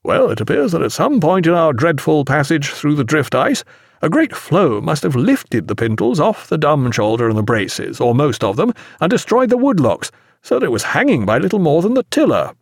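A man's voice: fairly high pitch, very animated, moderate pace, slightly rough, no room sound, studio quality, pitched above the performer's own voice, heavily acted. A man voicing a cheerful, nonchalant sea captain in an audiobook, with a hearty, breezy manner. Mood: frank, unruffled. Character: cheerful, unruffled male sea captain at breakfast.

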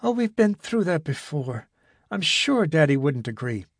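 A man's voice: fairly high pitch, big pitch swings, unhurried, no echo, studio quality, heavily acted. A man voicing an anxious, wistful young woman in an audiobook reading.

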